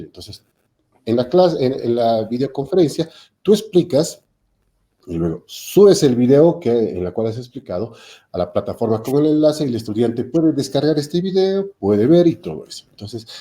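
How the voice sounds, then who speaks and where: A man's voice: mid-range voice, big pitch swings, moderate pace, mellow. A man, a technical institute lecturer explaining online teaching in a panel talk, hesitating with frequent fillers and self-corrections over a video call.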